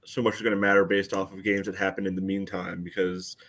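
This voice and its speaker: A man's voice: deep voice, flat delivery, quick pace, fairly bright tone. A man on a soccer podcast panel, giving casual, conversational analysis.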